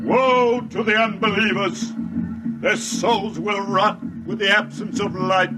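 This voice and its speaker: A man's voice: high voice, fairly even intonation, slow, music in the background, low-quality recording. An actor playing a fanatical male cult priest, solemnly intoning prophecy in a 1950s radio drama. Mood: fervent.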